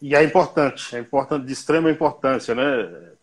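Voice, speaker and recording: A man's voice: mid-range voice, moderate pace. A man hosting an interview, chatty and jokey, with short affirmations. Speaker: interviewer host. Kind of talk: casual interview conversation.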